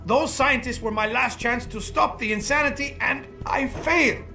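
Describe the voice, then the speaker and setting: An actor playing a guilt-ridden, bitter and proud disgraced activist in a video game, speaking formally and eloquently with raw emotion. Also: male voice, extremely high pitch, moderate pace, creaky, bright, forceful tone, soft background music, full character voice. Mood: remorseful, despairing. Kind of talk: dramatic video game voice acting.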